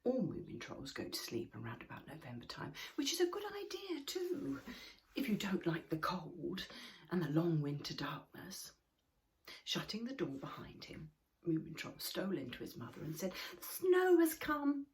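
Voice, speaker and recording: A woman narrating a children's story expressively and deliberately, sometimes dropping into a hush. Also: fairly low pitch. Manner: expressive, deliberate, with hushed passages.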